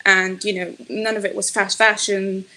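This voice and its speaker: A woman's voice: medium pitch, flat delivery, normal speed, bright, forceful tone, low-quality recording. A middle-aged woman from Israel, a native Hebrew speaker, speaking thoughtfully in an interview with many fillers and restarts. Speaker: podcast guest, fashion designer. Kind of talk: conversational interview.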